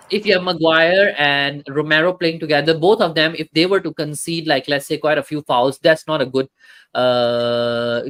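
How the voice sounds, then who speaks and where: Casual male stream host, halting delivery, lots of uh and restarts. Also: fairly high pitch, a bit bright. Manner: hesitant, many fillers and restarts. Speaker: football talk stream host. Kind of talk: casual live-stream football chat, reading chat comments.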